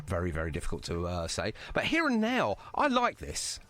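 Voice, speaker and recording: A man's voice: sits low, wildly swooping pitch, normal speed, a bit bright. A man hosting a casual music interview podcast, chatty and self-deprecating in manner. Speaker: podcast host interviewing a band.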